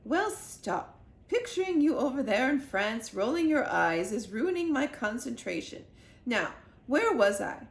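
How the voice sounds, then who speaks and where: A woman's voice: on the high side, very animated, measured pace, creaky, roomy sound, exaggerated voice. A woman voicing a prim, haughty English Queen, fussily polite and imperious, in a children's storybook reading. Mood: scolding, imperious. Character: prim, haughty elderly Queen of England on telephone. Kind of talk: children's storybook read-aloud.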